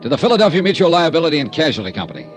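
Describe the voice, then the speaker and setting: A man's voice: a little high, very animated, rapid-fire. A dry, wisecracking insurance investigator narrates his own case in 1950s radio-drama style. His voice swings freely, with faint music underneath. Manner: wry, dry, hardboiled narration. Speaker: star of the radio serial.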